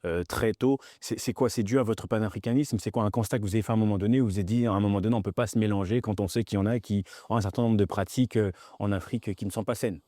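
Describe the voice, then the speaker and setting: A man's voice: fairly low pitch, steady tone, rapid-fire, hardly any pauses, warm tone, no room sound, clean studio sound. A man asking probing interview questions, stumbling and rephrasing as he goes.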